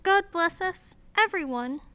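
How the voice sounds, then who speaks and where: A woman's voice: very high voice, expressive, measured pace, bright, forceful tone, no echo, full character voice. A woman playing a sweet, frail, earnest little boy in a radio play. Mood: hopeful.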